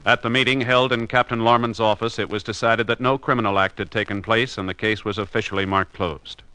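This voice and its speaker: A man working as a formal 1950s radio announcer, measured and official. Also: on the low side, fairly even intonation. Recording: old radio broadcast recording.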